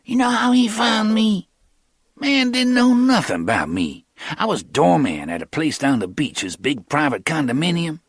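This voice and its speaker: A high, lively voice plays a streetwise young hustler, quick and clipped in his street talk, boastful and swinging all over in pitch. The reading is close and dry. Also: no room sound, full character voice. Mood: animated. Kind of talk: audiobook character reading.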